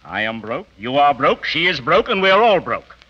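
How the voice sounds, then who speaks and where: A man's voice: fairly high pitch, big pitch swings, normal speed, background noise. An actor playing an exasperated middle-aged rich father in a 1930s radio comedy, blunt and sardonic. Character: exasperated, blustering middle-aged wealthy family patriarch. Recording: dull old 1930s radio recording.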